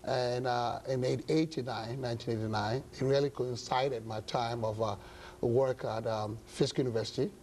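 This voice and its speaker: A pastor from Ghana, older, answering interview questions slowly with many pauses and fillers. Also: male voice, medium pitch, fairly even intonation, darkish tone, slight background noise. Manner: halting, many uh fillers, measured. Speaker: pastor and guest. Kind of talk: interview talk show.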